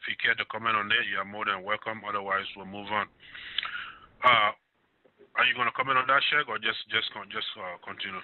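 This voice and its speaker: Male talk-radio host, steady and plain, taking calls, low voice, tinny dry sound. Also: a little fast, no echo. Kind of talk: hosting a call-in show. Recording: phone-quality radio audio.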